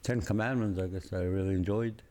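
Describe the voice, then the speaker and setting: A man's voice: sits low, steady tone, brisk, warm tone. A man in his sixties, a community elder from Canada, answering interview questions in an easygoing way with short replies. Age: 60s.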